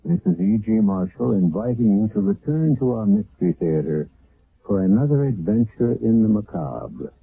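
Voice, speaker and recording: An older man narrating and hosting a radio mystery drama in a grave, deliberate, theatrical way. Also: on the low side, lively intonation, creaky, breathy edge, no room sound. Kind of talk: scripted narration. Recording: tinny lo-fi old radio broadcast.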